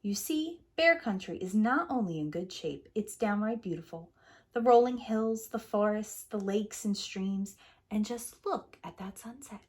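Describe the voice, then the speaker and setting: A woman's voice: medium pitch, animated, measured pace, breathy edge. A woman voicing a breezy, dismissive father bear in a storybook, folksy and self-assured.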